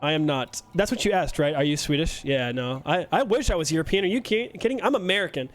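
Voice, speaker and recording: A man's voice: a little high, animated, quick pace. A young man streaming a game live, chatting with his viewers in a joking, self-mocking way. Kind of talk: casual live-stream chat while playing.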